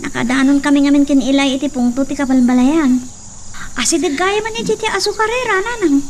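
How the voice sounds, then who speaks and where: An actress playing an earnest young daughter in a local-language drama. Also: female voice, high voice, fairly quick, natural voice. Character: young woman, daughter returning home. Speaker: actor. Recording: distant mic, steady background noise.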